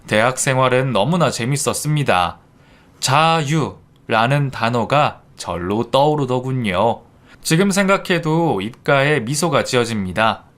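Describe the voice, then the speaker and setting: A man calmly narrating a scripted first-person life story. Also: medium pitch.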